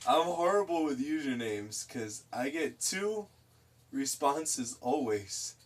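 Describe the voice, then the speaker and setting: Giggly, husky young man chatting. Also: medium pitch, lively intonation, slow pace. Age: 20s. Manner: giggly, reads chat messages aloud. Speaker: livestream host. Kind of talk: casual chat with chat while painting.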